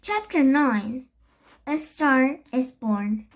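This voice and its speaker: Young child reader, very high voice, slow and halting, for a children's book read-aloud. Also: animated. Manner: halting, stumbles and corrects words. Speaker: storybook reader.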